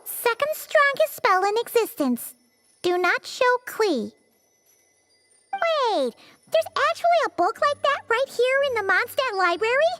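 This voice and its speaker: A woman voicing a tiny excitable childlike fairy companion in a video game, squeaky and expressive. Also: a little slow, faint music behind, exaggerated voice. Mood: curious, suspicious. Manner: exclamatory, expressive, chatty.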